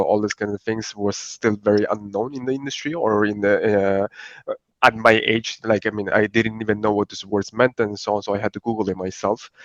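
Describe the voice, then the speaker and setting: A man from Italy, a podcast guest, talking in a relaxed, rambling way with many fillers. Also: lowish voice, no echo. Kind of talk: conversational podcast interview. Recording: dry, dull lo-fi sound.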